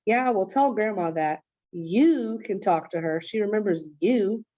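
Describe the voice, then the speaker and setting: A woman's voice: medium pitch, a little slow, warm tone, close to an everyday voice. A woman voicing an anxious, protective and easily irritated young boy in a storybook, earnest and strained, on a muffled recording.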